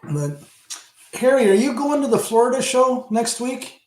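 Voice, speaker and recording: Middle-aged Canadian host, Southern Ontario accent, rambling livestream chat with a fairly high voice, recorded in a roomy space. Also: male voice, sing-song, moderate pace. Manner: rambling, talks back to chat, trails off. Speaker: channel host. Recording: roomy echo.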